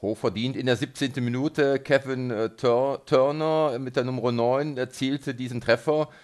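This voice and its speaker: A man hosting a local sports radio interview, phrasing his questions in a halting, hesitant way. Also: mid-range voice, steady tone, darkish tone. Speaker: radio host interviewing a football coach.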